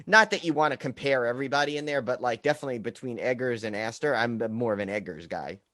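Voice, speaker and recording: A man's voice: medium pitch, fast, pushed and bright, no room sound, clean studio sound. A man hosting a casual film chat, rambling and often trailing off mid-thought.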